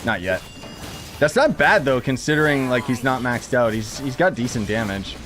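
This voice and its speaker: A man's voice: medium pitch, lively intonation, normal speed, fairly bright tone. A man giving casual gameplay commentary in a sarcastic, grumbling way, swearing mildly as he plays. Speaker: gaming streamer playing and commenting. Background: game music.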